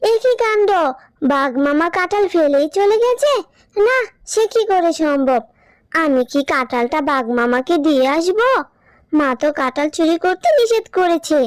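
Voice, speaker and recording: A woman voicing a lively, cheeky little girl fox in a children's cartoon, chattering in a childlike way. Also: extremely high pitch, dry recording. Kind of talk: cartoon character acting.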